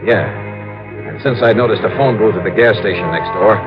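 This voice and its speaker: A man's voice: on the low side, a little fast, natural voice. An actor playing a wry, shrewd insurance investigator who narrates his case in a 1950s radio detective drama. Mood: wry narration. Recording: dull old radio recording, music behind.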